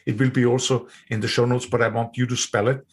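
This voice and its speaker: A man's voice: medium pitch, flat delivery, quick pace, slightly rough. A man with an Austrian accent hosting a podcast interview, friendly and a little hesitant, with frequent fillers. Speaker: podcast host. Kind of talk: interview conversation.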